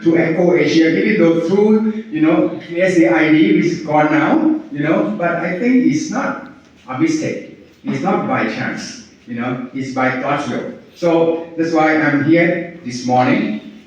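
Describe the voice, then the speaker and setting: Man speaking from far off in an echoey hall. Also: on the high side. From Thailand. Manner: halting, many fillers and restarts, laughs at his own jokes. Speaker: head of partnership engagement at a farming organization. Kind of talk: speaking to an audience at an event. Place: large hall at an event. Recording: echoey room, voice from far off, dull lo-fi sound.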